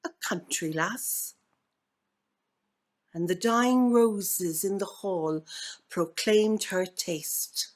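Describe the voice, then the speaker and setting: An Irish woman reading a poem as an actor would, with measured, deliberate and theatrical phrasing. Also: medium pitch. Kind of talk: dramatic poetry reading.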